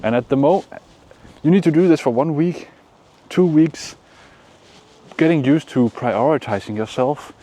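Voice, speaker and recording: Mellow-voiced Danish man, calm coaching. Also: a little high. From Denmark. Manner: calm, encouraging, with frequent pauses. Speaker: manifestation coach. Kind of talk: casual motivational vlog talk. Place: outdoors in the shade.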